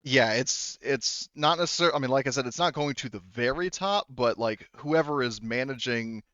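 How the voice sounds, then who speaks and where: A man running a tabletop game as game master, explaining details casually and haltingly, often rephrasing as he goes. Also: medium pitch, pushed and bright, dry recording, muffled recording.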